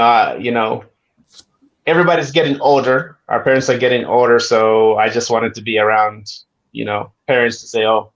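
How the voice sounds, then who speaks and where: A man in his forties from Zambia, a guest telling stories in a relaxed, friendly way. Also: medium pitch, lo-fi sound. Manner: relaxed, friendly storytelling with asides. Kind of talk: casual interview chat.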